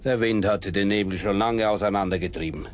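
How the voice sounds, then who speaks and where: Man playing a low-voiced terse police sergeant. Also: brisk, natural voice. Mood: matter-of-fact, probing. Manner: terse, dry questioning. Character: terse, probing Swiss police sergeant on a case. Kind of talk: German radio drama acting.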